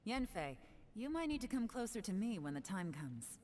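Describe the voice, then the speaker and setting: A woman's voice: medium pitch, sing-song, normal speed, darkish tone, a little hiss or hum, close to an everyday voice. An actress playing a bold, commanding young woman adventurer in a fantasy game, assured and dramatic. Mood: confident, resolute. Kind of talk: video game voice acting.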